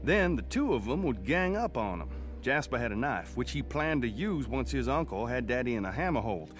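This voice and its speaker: Male actor as folksy Southern country narrator, mid-pitched storytelling over prominent music, radio drama. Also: big pitch swings, moderate pace, lightly acted. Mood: reflective, storytelling. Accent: rural Southern American. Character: folksy country son recounting his rural boyhood. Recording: music under the voice.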